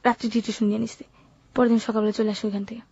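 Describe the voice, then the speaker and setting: A woman's voice: a little high, flat delivery, rapid-fire, mellow, husky, highs cut off. A seventeen-year-old woman with a colloquial Bangladeshi Bengali accent, telling her life story informally and matter-of-factly. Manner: matter-of-fact, unsentimental telling. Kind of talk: informal first-person storytelling.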